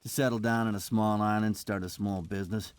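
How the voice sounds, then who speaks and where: Brief: easygoing American agent, voiced by a man, low-pitched, loose joking delivery. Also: brisk, clear, resonant tone, no room sound, studio quality, lightly acted. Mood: friendly. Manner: relaxed, joking banter.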